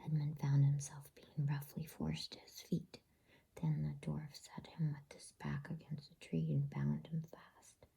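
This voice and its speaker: Woman doing whispered asmr bedtime story, super breathy, mellow, takes her time. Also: sits low, completely flat delivery. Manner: soft, unhurried, hushed.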